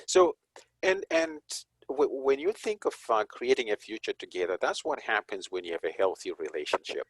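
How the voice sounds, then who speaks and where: A man from Zimbabwe, a police chaplain and guest, talking in an unhurried, reflective way with frequent chuckles. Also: on the low side, animated, husky, no echo, rough recording. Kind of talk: conversational interview.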